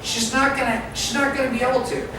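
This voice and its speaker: A man's voice: extremely high pitch, level tone, quick pace. Echoey far-off man giving a talk. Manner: instructive, coaching tone with direct address. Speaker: speaker giving a club talk. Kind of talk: presentation to an audience. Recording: echoey hall from far off with noise.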